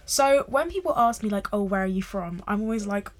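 Animated young British woman explaining. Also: mid-range voice, fairly quick. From England, family from Ghana. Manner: animated, explanatory, uses "like" often. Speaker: discussion participant. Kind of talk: casual conversation.

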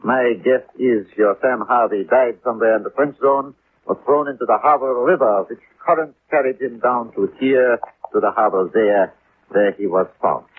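An actor playing a methodical German police lieutenant with a German accent and stilted, deliberate phrasing in a 1950s radio drama. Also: male voice, medium pitch, slightly rough, lightly acted. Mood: guarded. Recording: old 1950s radio recording, muffled, faint noise.

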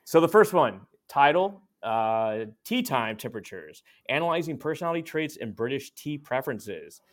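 A man at a middle pitch co-hosting a podcast. He talks in a loose, joking way and strings his thoughts together with plenty of "like." He sounds like he is ribbing his guest. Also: big pitch swings, normal speed. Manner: jokey, loose, lots of "like". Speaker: podcast co-host. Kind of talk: casual chat.

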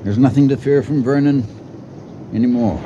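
An actor playing an anxious but practical elderly husband in a radio drama, firm, measured and patronizing with his wife. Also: mid-range voice, dull audio, close to an everyday voice. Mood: uneasy, steady. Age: older. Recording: noisy 1980 radio drama recording.

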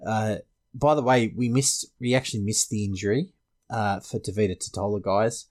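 A man's voice: fairly low pitch, steady tone, normal speed, a little mellow. A man with an Australian accent hosting a casual fantasy sports panel discussion. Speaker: podcast host.

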